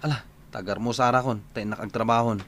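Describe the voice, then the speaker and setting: A man's voice: mid-range voice, fairly even intonation, fairly quick, a bit bright, close to an everyday voice. A man playing a sullen, hungover young husband in a radio drama, grumbling and whining, with some background noise. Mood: irritated. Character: sullen hungover young husband who drinks too much. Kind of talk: Ilocano radio drama acting.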